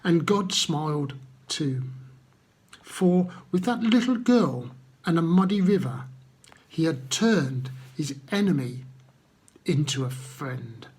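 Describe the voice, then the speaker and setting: A man telling stories to children and addressing them gently, with simple, unhurried phrasing and guiding questions. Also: a little high, lively intonation, breathy edge. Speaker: children's Bible storyteller.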